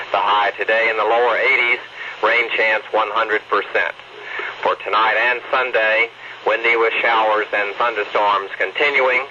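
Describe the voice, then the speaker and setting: A man's voice: on the low side, pushed and bright. A man reading a weather forecast as a radio announcer, in a formal, clipped manner. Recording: dull noisy radio broadcast recording.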